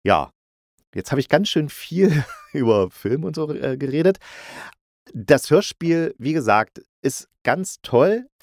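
A man giving a casual spoken review as a podcast host, conversational and colloquial, with clear opinions. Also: on the high side, very animated, slow, a little mellow, no room sound, clean studio sound.

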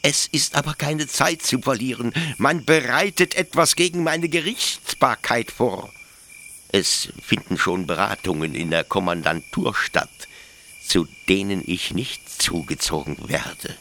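Fanatical nostalgic officer in a radio play, slow and dramatic, voice leaps all over, noisy background. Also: male voice, medium pitch, lively intonation. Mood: urgent, indignant, then bitter, confiding. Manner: theatrical, swinging from hushed confiding to exalted declaiming. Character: fervent, nostalgic male officer devoted to brutal old justice.

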